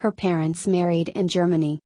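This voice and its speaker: A woman reading an encyclopedia article aloud in an even, measured way, pausing at each date. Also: fairly low pitch, no echo, studio quality. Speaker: article reader. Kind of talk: scripted encyclopedia narration.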